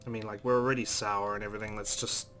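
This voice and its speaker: A man's voice: lowish voice, little pitch movement, quick pace, a bit bright, soft background music. A man hosting a let's play, expressively reading a visual novel aloud and adding his own asides.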